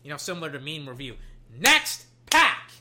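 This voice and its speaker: A young adult, slightly hoarse, chatting casually while opening card packs at a desk, swinging between loud hype and low muttering and swearing freely. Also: sing-song, moderate pace. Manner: swears casually, trails off and restarts. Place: at a desk indoors.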